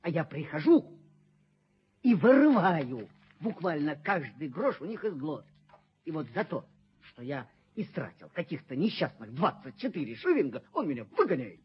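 An actor playing a servile, bitter sacked rent collector in a radio play, pleading and justifying himself emphatically in a husky, raspy voice. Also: male voice, on the high side, very animated, normal speed. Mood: aggrieved pleading. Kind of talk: theatrical radio play acting. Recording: muffled 1970s radio play recording.

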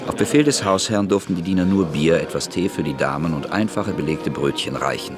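A man's voice: sits low, animated, brisk, over music. A man reading scripted literary narration for a radio drama.

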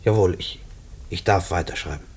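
A man's voice: low-pitched, moderate pace, natural voice. An actor in a German radio play playing a destitute, jobless man turned prisoner, embittered and defiant. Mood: cowed, bitter.

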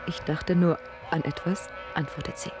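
A woman's voice: deep voice, fairly even intonation, moderate pace. A woman narrating a literary radio play in a storytelling style. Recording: music under the voice.

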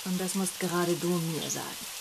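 Low-voiced, confident young journalist. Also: female voice, steady tone, moderate pace, creaky, background noise. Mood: dryly pointed. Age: young adult. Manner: cool, self-assured, challenging. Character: sharp, ambitious young female journalist, confident and skeptical. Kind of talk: German radio drama acting.

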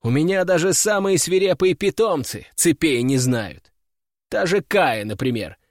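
A man's voice: fairly high pitch, lively intonation, a little slow, no room sound, studio quality. Wry male audiobook narrator. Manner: wry, ironic. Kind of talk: first-person fantasy audiobook narration.

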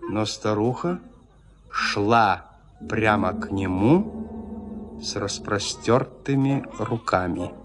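A man narrating classic literature as an audio drama, with measured, expressive storytelling. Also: on the low side, over music.

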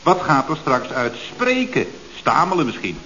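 Earnest male subordinate, pseudo-profound and halting, highish pitch, radio comedy, dull vintage sound. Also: sing-song, a crowd in the background. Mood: dreamily earnest. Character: earnest, deferential male employee with woolly artistic ideals. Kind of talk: radio comedy serial acting. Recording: dull old radio recording.

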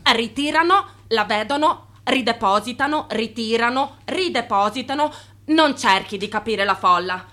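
An actress playing an insistent, agitated assistant to a financier in a radio drama, speaking urgently. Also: female voice, on the high side, lively intonation, normal speed, sharp tone. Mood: persuasive.

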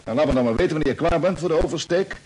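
An actor playing a concerned, decisive commander of a space fleet in a Dutch radio play, questioning and giving orders with authority. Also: male voice, a little high, rapid-fire, a little mellow, husky. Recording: noisy old radio drama recording.

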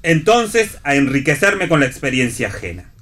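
A man's voice: on the high side, sing-song, moderate pace, fairly bright tone. A teasing, theatrical would-be seer in a radio play acted by a man. His melody swoops widely as he hands out wry, sententious pronouncements.

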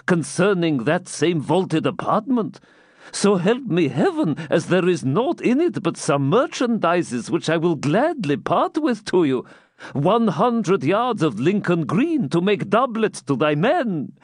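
A man's voice: high-pitched, breathy edge, no room sound, clean studio sound, voice pushed up, exaggerated voice. A man voicing an anxious old male moneylender in a storybook, lamenting and fawning in archaic speech.

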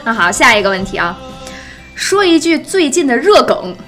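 Female host, teasing and chatty, very animated intonation, music bed underneath. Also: high voice, normal speed. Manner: playful, teasing, echoes guests' answers. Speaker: interview host. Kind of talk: lively casual chat interview. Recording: background music throughout.

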